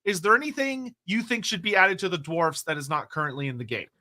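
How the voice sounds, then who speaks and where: A young man co-hosting a live stream, his voice pitched high and bright. He rambles with eager enthusiasm, swearing casually as he reads viewer questions and riffs on fantasy lore. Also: fast. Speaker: co-host reading viewer questions.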